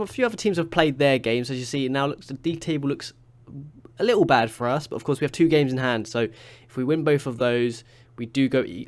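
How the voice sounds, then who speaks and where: Stuffy-nosed man chatting over gameplay. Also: mid-range voice. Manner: chatty, rambling with fillers and restarts. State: stuffy from a cold. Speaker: gaming YouTuber. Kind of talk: casual let's-play commentary.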